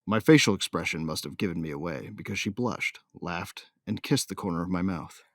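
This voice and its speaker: Deep-voiced cocky bounty hunter, acted by a man. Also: animated, brisk, no room sound, clean studio sound. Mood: smug, easygoing. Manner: brash, colloquial, hard-boiled. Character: cocky, hot-tempered bounty hunter narrating his story. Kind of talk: first-person dramatised audiobook narration.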